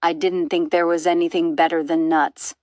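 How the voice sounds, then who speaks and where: A woman's voice: on the low side, level tone, normal speed, a little mellow, no room sound, studio quality, close to an everyday voice. A woman voicing a friendly, naive monkey in a storybook, earnest and easily horrified.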